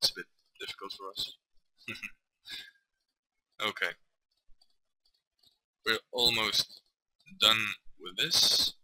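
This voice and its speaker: A man from Belgium, hesitantly talking through a software tutorial with lots of fillers and self-corrections. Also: on the low side, slow, pauses often, no room sound, low-quality recording. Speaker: tutorial maker. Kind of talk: casual screen-recorded software tutorial. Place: at a computer desk.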